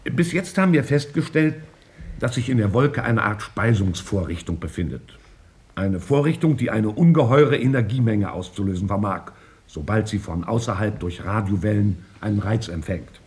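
An actor playing a skeptical, orderly senior scientist in a 1950s German radio drama, measured and formal, with thoughtful hesitations. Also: male voice, lowish voice, animated, a little mellow, lightly acted. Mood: businesslike.

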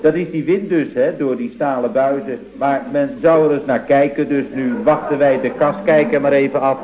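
An actor playing a deferential, matter-of-fact employee in an old radio comedy, explaining things in an understated way. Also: male voice, medium pitch, steady tone, normal speed, a crowd in the background, lightly acted. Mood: apologetic, explaining. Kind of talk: 1960s-70s Dutch radio comedy acting. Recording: dull, roomy old radio recording.

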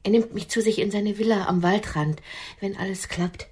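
A woman's voice: mid-range voice, fairly even intonation, moderate pace, darkish tone, husky, close to an everyday voice. An actress in a radio play playing a lonely, boastfully romantic woman with a demanding, theatrical manner. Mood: dreamy, boastful.

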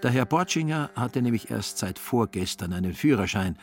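A man narrating a literary radio play as a storyteller, measured and expressive in his scripted delivery. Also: mid-range voice, soft background music.

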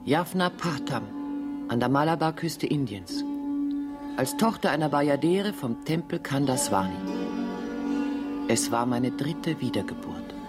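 An actress playing a proud, defiant exotic dancer who mythologizes herself in a theatrical German radio play style. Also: female voice, rumbling low, expressive, unhurried, pauses often, over loud music. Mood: solemn, grandiose.

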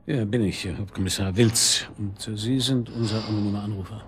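An actor playing a dry, wry and sceptical police chief inspector in a German radio drama, with a hesitant manner. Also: male voice, on the low side, steady tone, brisk, slight background noise, close to an everyday voice. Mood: questioning, dry. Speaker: detective.

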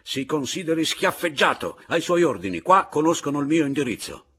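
Guy doing an angry haughty painter from an audiobook, curt, threatening, husky, swingy pitch. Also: big pitch swings, unhurried, fairly bright tone, full character voice. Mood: furious shouting. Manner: curt, menacing. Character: haughty, aggressive male painter, quick to challenge.

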